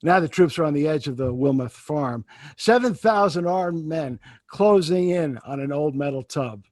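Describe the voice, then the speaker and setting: A man plays a brisk army captain reporting from the field in a radio drama. His voice is somewhat high for a man and sounds dry and close, with no room around it. He talks in an assured, officer-like manner. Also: animated, darkish tone, no echo, natural voice. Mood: uneasy. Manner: brisk, assured, then hesitant. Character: confident male army signal captain reporting.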